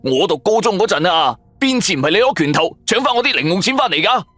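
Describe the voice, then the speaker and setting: A mouthy young Cantonese sidekick, voiced by a man, pitched high and swooping. He complains and protests with animated indignation in a radio drama, music playing underneath. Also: fast talker, no echo. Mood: whining, protesting.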